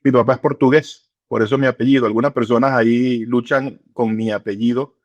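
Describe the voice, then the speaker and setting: Middle-aged guy, interview guest, slow and full of ums, dry close mic. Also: mid-range voice, fairly even intonation, dry recording, lo-fi sound. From Venezuela. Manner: unhurried, many hesitations and fillers. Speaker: church regional director, guest. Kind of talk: relaxed interview conversation.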